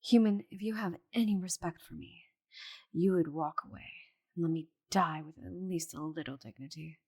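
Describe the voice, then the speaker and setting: A woman voicing a proud, stubborn, bitter female dragon in a dramatic audio roleplay, with a breathy voice and haughty, sighing delivery. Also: lowish voice, moderate pace, darkish tone. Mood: bitter, fatalistic. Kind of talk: scripted audio roleplay monologue.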